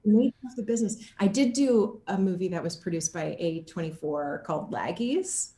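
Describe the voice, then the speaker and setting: A woman giving a casual interview as an actor, speaking in an animated, self-deprecating way with playful exaggeration. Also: on the low side, a little fast, crowd noise. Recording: roomy, echoing sound.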